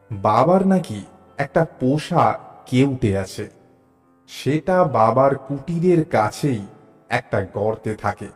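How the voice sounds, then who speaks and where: A man's voice: mid-range voice, a little mellow. Male narrator over music. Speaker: narrator of an audio story. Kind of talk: scripted dramatic audio story narration. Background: musical background.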